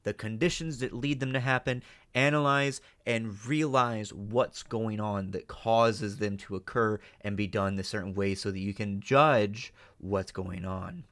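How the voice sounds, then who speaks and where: Guy doing reaction commentary, lowish voice, analyzing stuff on the fly, emphatic. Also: fairly even intonation, unhurried, few pauses, fairly bright tone. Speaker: reaction video host.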